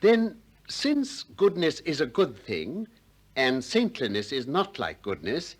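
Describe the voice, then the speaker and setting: An actor playing a shrewd, probing Athenian philosopher in a 1960s radio drama, deliberate and courteous with polite irony. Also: male voice, fairly high pitch, huge pitch swings, heavily acted. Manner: deliberate, politely ironic, questioning.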